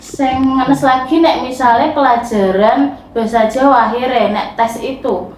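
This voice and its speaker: A woman's voice: on the high side, normal speed, slight background noise. A woman with a Javanese accent, a guest language teacher explaining in casual, chatty podcast talk. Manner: chatty, explanatory, laughs often. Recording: roomy echo.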